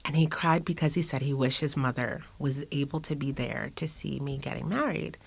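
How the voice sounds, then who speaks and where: A woman's voice: rumbling low, normal speed, creaky, breathy edge. A middle-aged woman guest in a casual interview, speaking reflectively with fillers and restarts. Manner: reflective, many fillers and restarts, laughs often. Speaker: guest artist.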